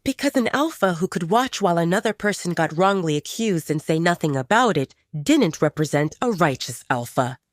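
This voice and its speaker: A woman's voice: lowish voice, animated, moderate pace, hardly any pauses, dry recording, clean studio sound. A woman reading scripted narration as the storyteller of an audio drama.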